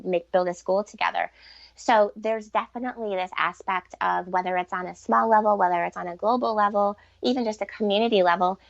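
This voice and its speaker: A woman's voice: medium pitch, vocal fry, no echo, highs cut off. A woman speaking conversationally as an interview guest on spiritual matters, explaining earnestly and repeating points for emphasis. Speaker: podcast guest, author and relationship expert.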